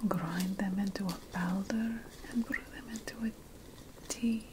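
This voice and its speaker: A woman playing an ancient witch priestess, a patient and lofty potion scholar, in a hushed, deliberate ASMR fantasy roleplay. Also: mid-range voice, steady tone, very noisy, lightly acted. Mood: hushed, instructive. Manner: hushed, deliberate, lingering over lists.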